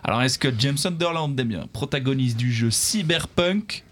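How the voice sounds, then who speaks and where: A man's voice: mid-range voice, animated, normal speed. A man hosting a live quiz game, reading out questions and bantering playfully with the contestants. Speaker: quiz game show host.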